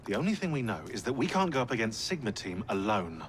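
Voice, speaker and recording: An English actor playing a wry, cocky modern-day operative in a video game, with a flippant, self-dramatising manner. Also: male voice, lowish voice, sing-song, quick pace, noisy background. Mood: matter-of-fact.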